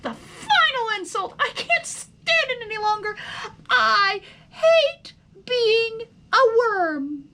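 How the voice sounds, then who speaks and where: A woman's voice: very high voice, very animated, slow pace, sharp tone, a little hiss or hum, pitched above the performer's own voice, heavily acted. A woman voicing a young boy worm in a storybook, whiny, angry and sarcastic, wailing out petulant complaints. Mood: furious, whining.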